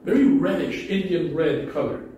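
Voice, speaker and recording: A man's voice: fairly high pitch, expressive, normal speed, mellow, a little hiss or hum. A middle-aged man with a Spanish accent, preaching a conversational sermon from a pulpit, often restarting his sentences. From Spanish-speaking country. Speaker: pastor. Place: small church hall with low ceiling. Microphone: pulpit mic, far camera. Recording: echoey hall from a distance.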